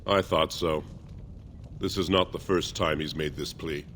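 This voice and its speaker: Fantasy game casting: dignified elderly dwarf archivist, deep, grave, measured and formal. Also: male voice, steady tone, a bit bright, a little hiss or hum. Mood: grave, reluctant. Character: solemn, dignified older male dwarf archivist. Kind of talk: video game character acting.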